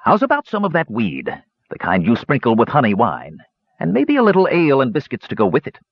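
A male narrator acting out a battle-weary old dwarf hermit for a fantasy story, coarse and grumpy. His rustic, ungrammatical talk is pitched up high and leaps about, with a husky edge on a dull recording. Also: wildly swooping pitch, brisk, no echo, full character voice. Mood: wheedling. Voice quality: coarse, growling. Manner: folksy, rustic, ungrammatical speech. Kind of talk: fantasy audiobook character voice.

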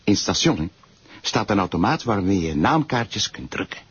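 An actor playing a cautious, courteous detective with formal wording in an audio drama. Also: male voice, fairly low pitch, sing-song, moderate pace, muffled recording, noisy background. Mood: reasoning. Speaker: police detective. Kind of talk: audio drama acting, conversational.

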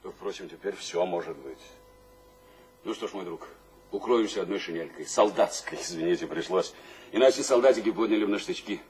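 An actor playing an imprisoned tsarist general, sardonic and servile by turns, with florid old-fashioned courtesy and a raspy voice, in a radio drama. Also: male voice, sits low, brisk, a little mellow, a little hiss or hum, close to an everyday voice. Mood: wry surprise. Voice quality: husky, raspy. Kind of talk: theatrical radio drama acting.

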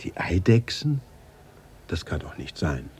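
An actor playing a quiet middle-aged man in a German radio drama, questioning soberly in a raspy, breathy voice. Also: sits low, big pitch swings, measured pace, exaggerated voice. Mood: pensive, puzzled. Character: quiet, probing middle-aged man seeking his family's truth.

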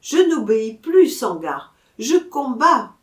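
Storybook bull, played by a woman: male, proud, challenging, very animated delivery, echoing room. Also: high-pitched, slow, voice pushed up, exaggerated voice. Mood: defiant challenge. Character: proud defiant young fighting bull, male. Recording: roomy, echoing room sound.

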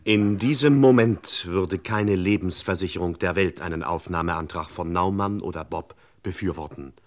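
An actor playing a pompous cliffhanger narrator of an old radio crime serial. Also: male voice, deep voice, normal speed, close to an everyday voice. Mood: mock suspense.

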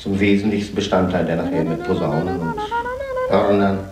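A man's voice: low-pitched, steady tone, a little fast, distant mic. A man explaining a piece of music off the cuff, singing out themes on simple syllables as examples. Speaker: interviewee explaining a symphony. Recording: roomy sound with faint music.

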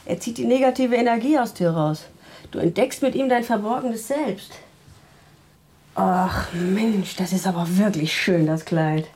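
A breezily self-assured woman with a spiritual bent in a radio drama. Her voice has a husky, breathy grain at a medium pitch. She talks in a colloquial, gushing way. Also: unhurried, darkish tone. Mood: breezy, caring. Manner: colloquial, gushing, chuckling between phrases. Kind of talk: naturalistic radio drama acting.

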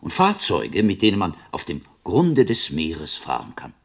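Husky, deep-voiced learned friar, animated. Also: male voice, a little slow, heavily acted. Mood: visionary, fervent. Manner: didactic, declamatory. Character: learned medieval friar, mentor, visionary, self-assured. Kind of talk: radio play acting.

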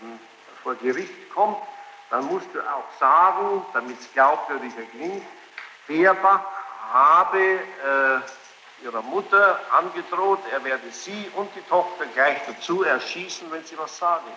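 A man examining a witness in court, speaking formally and haltingly, pressing insistently and repeating answers sceptically. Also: a little high, lively intonation, bright, forceful tone, muffled recording. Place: large reverberant courtroom. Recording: noisy, echoing archival courtroom tape.

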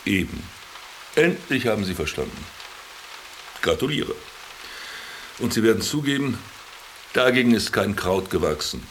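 A world-weary, sardonic companion in a radio drama. His lowish voice moves in wide swings, dry and formally polite, over a faintly noisy background. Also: sing-song, unhurried, long pauses. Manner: dry, ironic, formal address. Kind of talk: German radio drama dialogue.

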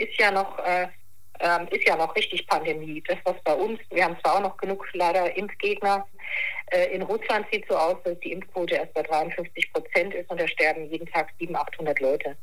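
Lower-voiced woman giving blunt advice. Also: fairly even intonation, normal speed, a bit bright, low-quality recording. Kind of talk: informal official advice.

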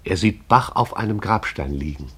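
A man narrating a radio play in a measured, poetic manner. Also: fairly low pitch, animated, fairly bright tone, slightly rough.

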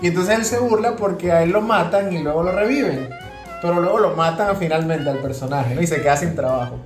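A man's voice: on the high side, fairly quick. A Venezuelan man co-hosting a casual podcast, explaining things in a hesitant way and often repeating words as he goes. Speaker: podcast co-host. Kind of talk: casual conversational commentary. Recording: roomy echo, music behind.

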